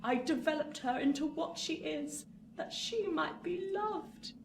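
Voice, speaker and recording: A woman's voice: high-pitched, expressive, unhurried, creaky, heavily acted. A woman acting a bitter, obsessive old rich spinster in a radio play, commanding, intense and theatrical. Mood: feverish, obsessive. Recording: echoey room, distant mic, faint noise.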